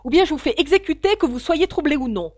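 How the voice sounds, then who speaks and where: Une femme qui joue un roi colérique et tonitruant. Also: female voice, a little high, big pitch swings, slow pace, no room sound, voice pushed up, heavily acted. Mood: angry. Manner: imperious, barking orders. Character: irascible, domineering old-fashioned male king, threatening and impatient.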